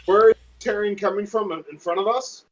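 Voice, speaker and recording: A man's voice: high voice, steady tone, normal speed. A man playing a tabletop game, thinking aloud hesitantly as he plans in casual chat. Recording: dull lo-fi voice recording.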